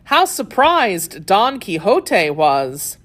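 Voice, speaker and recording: A woman narrating a children's story from a script, telling it in an expressive, deliberate way. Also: mid-range voice.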